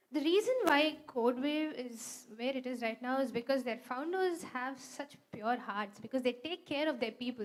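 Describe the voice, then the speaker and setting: Fairly high Indian female co-host. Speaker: event co-host. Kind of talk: live stage hosting.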